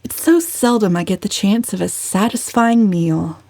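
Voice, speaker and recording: A woman's voice: medium pitch, moderate pace, vocal fry, dark tone, no room sound. A woman voicing a defiant, coolly impudent young woman in a storybook, with pointed delivery. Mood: breezy defiance. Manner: pointed, insistent.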